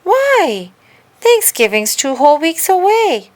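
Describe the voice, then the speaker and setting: A woman's voice: very high-pitched, sing-song, slow pace, pitched above the performer's own voice, exaggerated voice. A woman voicing a hopeful, eager and stubborn young girl in a children's story. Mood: eager pleading.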